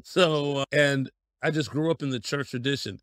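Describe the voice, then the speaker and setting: Folksy middle-aged pastor, dry close sound. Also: male voice, medium pitch, normal speed, fairly bright tone, no room sound. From Denver, Colorado. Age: 50s. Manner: folksy, storytelling, laughs easily. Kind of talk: interview guest telling life story.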